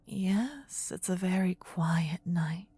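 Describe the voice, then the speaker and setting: A woman playing a possessive, tender demon queen in a fantasy ASMR roleplay, with a soft breathy whisper and an intimate, regal, coaxing manner. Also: fairly low pitch, expressive, slow pace, dry recording, heavily acted. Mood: tender, protective.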